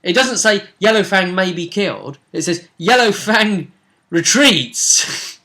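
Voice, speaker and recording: A man with a somewhat high, bright voice chats through a tabletop session as its game master. He explains at length in a casual way, throwing in rhetorical questions to his partner. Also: animated, slow pace. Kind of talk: casual recap discussion.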